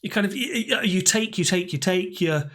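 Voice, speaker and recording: A man hosting a casual podcast interview, halting and repeating words as he asks his questions. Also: high voice, lively intonation, a bit bright. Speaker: podcast host.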